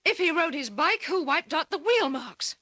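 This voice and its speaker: Anxious young heroine, Australian, high and very animated, earnest and insistent, vintage radio thriller. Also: female voice, normal speed, no echo, clean studio sound. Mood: puzzled, insistent. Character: anxious, earnest young woman, the detective's companion. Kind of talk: old-time radio drama acting.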